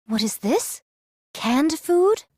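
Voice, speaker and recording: A woman's voice: high-pitched, very animated, slow pace, warm tone, no room sound, clean studio sound, full character voice. An actress playing an eccentric, solemn young female android in an anime game, with theatrical, archaic and formal diction. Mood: pleased, grand.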